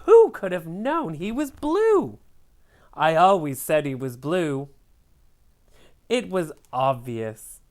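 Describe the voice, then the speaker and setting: A woman's voice: deep voice, very animated, slow, long pauses, darkish tone, heavily acted. A woman voicing opinionated, gossipy crayon characters from a children's storybook in an exaggerated manner. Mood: gushing admiration. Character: chattering, opinionated crayons judging a classmate.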